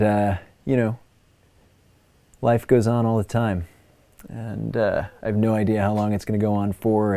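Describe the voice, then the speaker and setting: A man hosting a casual livestream, talking in a halting, informal and sincere way, as if thinking aloud. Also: fairly low pitch, mellow, no room sound. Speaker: livestream host. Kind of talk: casual unscripted livestream chat.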